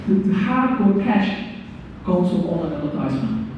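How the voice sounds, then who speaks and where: A man delivers a technical lecture in a rather high voice, stumbling and repeating words before he stresses his points. The recording is muffled and dull, and comes from a mic set well away from him. It echoes heavily around the room, with steady noise underneath. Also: moderate pace. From Hungary. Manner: hesitant, repeats words, animated emphasis. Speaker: guest lecturer. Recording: echoey hall, distant mic, dull sound, background noise.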